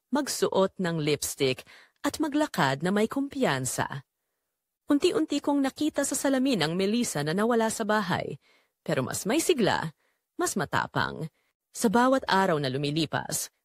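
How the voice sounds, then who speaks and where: A woman's voice: fairly low pitch, no echo, studio quality. A woman with a Filipino accent narrating a scripted first-person drama in an emotive, confessional way.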